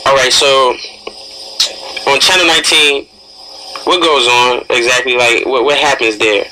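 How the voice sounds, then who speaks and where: A man's voice: medium pitch, a little slow, sharp tone, a little hiss or hum, poor audio. A young American man co-hosting a casual radio interview, repeating words for emphasis. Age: young adult. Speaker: radio show co-host.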